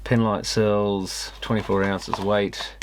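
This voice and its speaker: A man's voice: lowish voice, flat delivery, slow pace, background noise. A man hosting a repair video, casually commenting and reading a manual aloud with muttered asides. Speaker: host of an electronics repair video channel.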